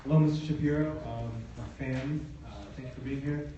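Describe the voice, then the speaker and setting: A man's voice: medium pitch, fairly even intonation, brisk, heavy background noise. A young man from New Jersey, a student asking a polite, hesitant question at a campus Q&A. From United States (New Jersey). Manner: hesitant, many fillers, polite. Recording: echoey hall, distant, dull sound.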